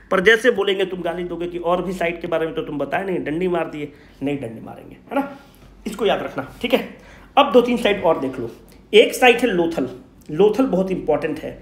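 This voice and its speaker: A man's voice: high voice, a bit bright. A man teaches an exam class in an echoey room. He speaks to students directly and informally, posing questions and then answering them himself. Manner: switches between Hindi and English. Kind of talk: energetic lecture to exam students.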